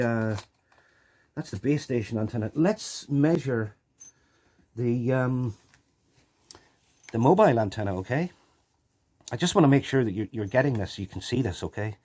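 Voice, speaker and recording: A man's voice: mid-range voice, a little mellow. A man giving a casual, unscripted hands-on demonstration into a phone, explaining and repeating key points. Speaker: video presenter showing a radio collection. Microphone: handheld phone.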